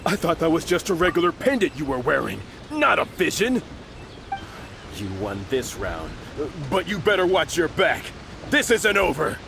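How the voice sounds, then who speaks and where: A man's voice: a little high, big pitch swings, normal speed, creaky, noisy background. A man voicing a cocky, bullying henchman in a video game, sneering and taunting with mocking emphasis. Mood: rattled, vengeful.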